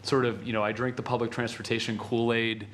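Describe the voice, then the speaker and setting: A man speaking as a transit official at a city committee meeting, measured and explanatory, with some restarts. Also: mid-range voice, little pitch movement, fairly bright tone, slight background noise. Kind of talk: public meeting discussion.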